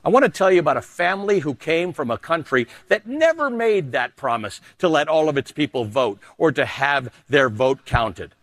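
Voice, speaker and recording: A middle-aged man, originally from Canada, anchors a news segment with emphatic, rising delivery. His voice sits on the higher side for a man, and he sinks lower and quieter for personal asides. The recording is close and dry. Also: lively intonation, moderate pace, hardly any pauses, no echo. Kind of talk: televised political commentary and personal essay.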